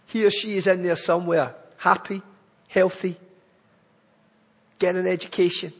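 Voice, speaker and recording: A man's voice: high-pitched, flat delivery, moderate pace, fairly bright tone, breathy edge, big-room sound. A man with a Glaswegian accent giving an earnest, pleading charity appeal in church. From Scotland. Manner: earnest, pleading, building to emphatic lists.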